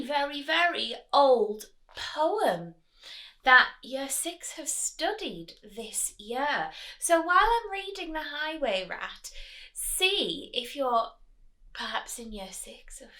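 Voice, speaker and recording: A woman reading a storybook aloud to children, expressively, pausing to chat and put questions to her young listeners. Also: high-pitched, pushed and bright, rough recording.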